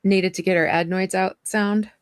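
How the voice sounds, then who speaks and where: A woman's voice: mid-range voice, fairly even intonation, normal speed, clean tone, no echo, studio quality. A woman with a nasal, stuffy allergy voice, teasing and laughing in casual livestream chat.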